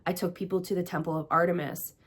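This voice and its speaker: Woman, American accent, low voice, chatty, gushing, casual host talk. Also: fairly even intonation, fast. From Greece and the United States. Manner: chatty and gushing, many "like" fillers. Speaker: podcast host and priestess. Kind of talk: casual personal recap talk.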